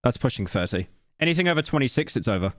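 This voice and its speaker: A man in his late twenties streaming a reaction video, talking casually and rambling, with self-deprecating asides and sudden loud outbursts. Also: mid-range voice, very animated, fast talker, no echo, clean studio sound. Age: late 20s.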